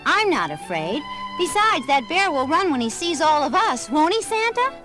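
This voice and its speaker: An actress playing a plucky, excitable young female detective with a girlish, exclamatory delivery in a children's cartoon audio drama. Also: sits high, moderate pace, music in the background. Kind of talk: 1970s children's record cartoon acting. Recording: noisy old vinyl album transfer.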